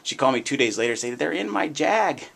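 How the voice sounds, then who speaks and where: A man's voice: medium pitch, sing-song, fast talker, creaky, fairly bright tone. An American man hosting a radio show, talking off the cuff in a plain-spoken, opinionated, folksy way about his trade like a sales agent. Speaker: radio show host, real estate agent. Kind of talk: unscripted radio talk, anecdotes and sales pitch. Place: indoors, radio show setting. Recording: noisy, distant-sounding radio show capture.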